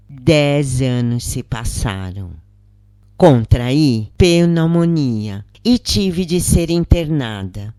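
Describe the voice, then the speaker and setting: A Brazilian woman narrating a first-person story aloud with measured, dramatic phrasing. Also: low-pitched, dark tone, dry recording.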